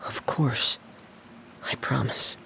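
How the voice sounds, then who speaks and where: A man playing a shy, gentle teenage boy in a video game, speaking in a hesitant, subdued, soft way. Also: slow pace, in a whisper, close to an everyday voice. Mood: quiet, reassuring.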